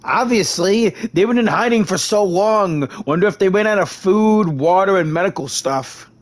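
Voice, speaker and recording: An actor playing an outspoken, loyal sidekick in a video game, blunt and excitable. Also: male voice, sits high, normal speed, close to an everyday voice. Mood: concerned.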